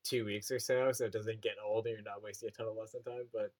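A man's voice: lowish voice, flat delivery, fast, darkish tone. A young Canadian man with a prairie accent, a teacher chatting casually on a podcast, full of fillers and restarts. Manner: rambling, many fillers and restarts.

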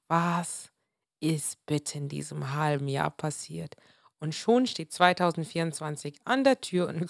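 A woman in her twenties hosting a motivational solo podcast, slightly hoarse and a bit under the weather, speaking earnestly and with emphasis. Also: on the low side, no echo, clean studio sound. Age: 20s. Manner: earnest, emphatic, mixes in English words. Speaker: podcast host.

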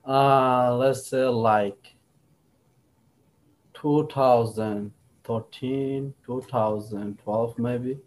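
A young Rohingya man with Rohingya as his first language, answering interview questions in simple, halting English with many restarts. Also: medium pitch, steady tone. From Myanmar. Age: young adult.

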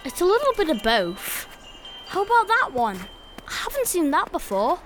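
Cheery little schoolboy, high voice, really lively, kids audio drama, faint noisy ambience. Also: female voice, moderate pace, soft background music, full character voice. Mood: playful. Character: cheerful, eager young schoolboy who loves Christmas. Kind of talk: children's audio drama acting.

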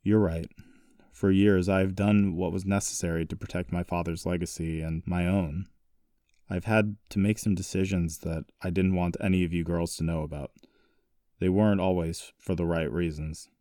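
Audiobook role: protective, secretive elderly patriarch, acted by a man, low, commanding, distant from the mic. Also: steady tone, moderate pace, warm tone, studio quality, natural voice. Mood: weary, confiding. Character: stern, protective, secretive grandfather, wealthy family patriarch. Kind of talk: audiobook character dialogue. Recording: roomy echo, distant mic.

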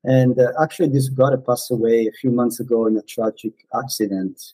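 A man in his forties, a non-native English speaker, casually reminiscing in an interview with frequent pauses and fillers. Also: mid-range voice, steady tone, warm tone, no echo, rough recording. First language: not English. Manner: hesitant, many fillers and restarts. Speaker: podcast guest, former missionary.

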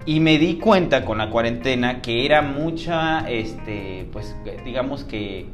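A man's voice: mid-range voice, lively intonation, slow, music in the background. A man hosting a podcast, talking in a loose, rambling way with many fillers and slang. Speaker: podcast host. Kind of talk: casual conversation.

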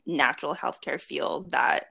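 Low creaky-voiced woman in her twenties. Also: measured pace, pushed and bright. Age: 20s. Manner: chatty, laughs often, many fillers and restarts. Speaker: interview guest. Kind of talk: casual video chat interview.

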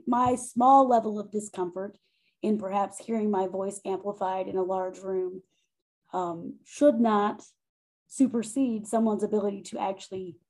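Casting note: woman educator, loud but mellow voice, thoughtful interview talk with frequent restarts. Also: mid-range voice, rough recording. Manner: thoughtful, self-correcting, frequent "right?" and "um". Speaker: faculty member and monograph author. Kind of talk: conversational interview.

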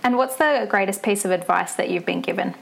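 A woman's voice: mid-range voice, a little fast, gravelly, breathy edge. A woman hosting an interview in a conversational, encouraging and affirming way. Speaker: podcast host, interviewer.

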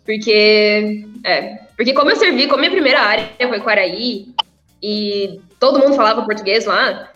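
A woman's voice: mid-range voice, normal speed, fairly bright tone. A young woman and former missionary chatting casually in an interview, often laughing between phrases. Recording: echoey room, dull sound, faint music.

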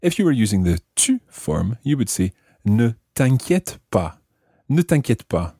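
A man presenting a language lesson, explaining phrases didactically and repeating them deliberately. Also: medium pitch, very animated, dark tone, slightly rough, no echo, clean studio sound. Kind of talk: explaining French grammar phrase by phrase.

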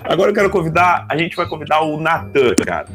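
Teasing podcast host, slightly high voice. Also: male voice, very animated, fast talker, over music. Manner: jokey, teasing. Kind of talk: casual banter, introducing guests.

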